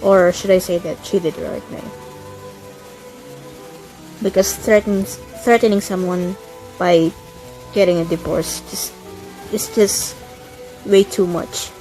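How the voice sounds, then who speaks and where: A woman does casual commentary over a video game. Her voice is on the low side, and she reacts in short, repeated phrases. Faint music sits behind her. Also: steady tone, slow, long pauses, warm tone, low-quality recording. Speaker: gaming video creator.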